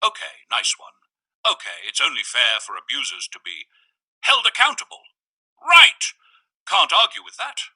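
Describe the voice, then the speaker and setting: A man's voice: medium pitch, huge pitch swings, measured pace, pushed and bright, slightly rough, pitched above the performer's own voice, full character voice. A man voicing an overly cheerful, pushy young man who turns unhinged, in a chirpy sing-song way. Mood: cheery. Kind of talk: storybook character voice.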